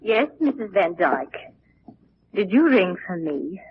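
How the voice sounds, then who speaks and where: An actress playing a devoted, soothing household servant in an old radio drama. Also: female voice, on the low side, sing-song, slow, full character voice. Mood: concerned. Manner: soothing, deferential. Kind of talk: 1940s radio drama acting. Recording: noisy, muffled old radio recording.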